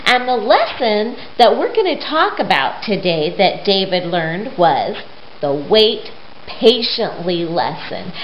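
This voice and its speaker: A middle-aged woman doing storytime for young children, speaking simply with questions and pauses, recorded in a living room. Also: medium pitch. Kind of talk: storytime talk and read-aloud for young children. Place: living room at home, indoors. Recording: roomy, dull sound with faint noise.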